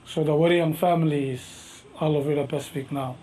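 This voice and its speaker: Micronesian master navigator, middle-aged man, mellow voice, unhurried halting delivery, faint background hum. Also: fairly high pitch, fairly even intonation, rough recording. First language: a Micronesian language. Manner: slow, thoughtful, halting speech with many fillers. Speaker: master navigator and canoe captain. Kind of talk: interview answers.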